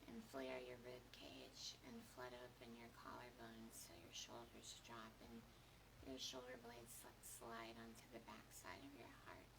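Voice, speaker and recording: A woman with a very deep voice guides a meditation at an unhurried pace, leaving long pauses between phrases. Her delivery is hushed and deliberate, with plenty of gravelly fry. She is recorded at a distance from the mic. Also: level tone. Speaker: mindfulness meditation leader at a counseling center. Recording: distant mic with clear background noise.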